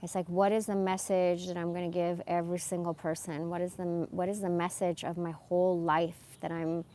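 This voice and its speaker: A woman's voice: fairly low pitch, flat delivery, moderate pace, dark tone. A woman in her forties rambling casually about personal things in a candid, emphatic, slangy way. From born in Iran, moved to America as a young child. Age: 40s. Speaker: live streamer.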